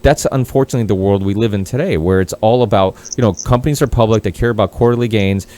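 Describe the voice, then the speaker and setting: A man's voice: on the low side, fast, dark tone. A Portugal-born man hosting a casual podcast, talking in a rambling, profane way with many restarts. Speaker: podcast host.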